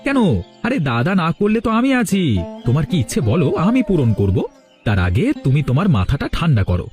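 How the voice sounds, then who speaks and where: A man voicing a sly young brother-in-law in a cartoon story, flattering and eager to please as he schemes. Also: a little high, brisk, over music. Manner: ingratiating, wheedling. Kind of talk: animated cartoon story dialogue.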